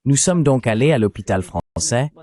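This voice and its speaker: A man's voice: medium pitch, lively intonation, normal speed, mellow. A man speaking as a dubbed interview guest, recounting events at length with indignation.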